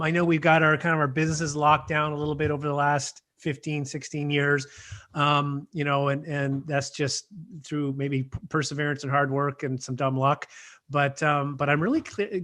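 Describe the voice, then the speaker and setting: Rambling man podcast host, slightly high. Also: flat delivery, normal speed, fairly bright tone. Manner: rambling, hesitant, many fillers. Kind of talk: podcast interview.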